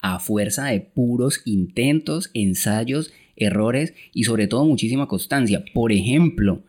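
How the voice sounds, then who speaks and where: A man's voice: medium pitch, lively intonation, measured pace, a little mellow. A man with a Colombian Spanish accent co-hosting a travel podcast in a conversational style. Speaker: podcast co-host.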